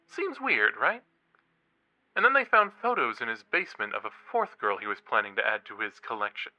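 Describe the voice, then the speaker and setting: An actor playing an eager young college true-crime podcaster in a horror audio drama, a teasing, suspenseful storyteller. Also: male voice, mid-range voice, very animated, normal speed, lo-fi sound. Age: young adult.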